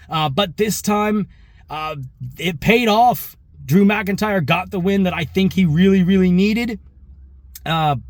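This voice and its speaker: A man with a fairly high voice and a touch of hoarseness gives his opinions as a show host. The recording is dry and close. He talks casually and conversationally, wandering into asides and correcting himself as he goes. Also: no room sound. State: slightly hoarse. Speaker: wrestling reaction show host. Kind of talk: casual opinion commentary to viewers.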